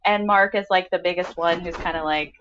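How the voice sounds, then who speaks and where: A woman's voice: lowish voice, steady tone, brisk, a bit bright, a little hiss or hum. Female podcast host, gushing and keen, conversational delivery, somewhat dull recording. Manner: effusive, enthusiastic. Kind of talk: casual podcast chat.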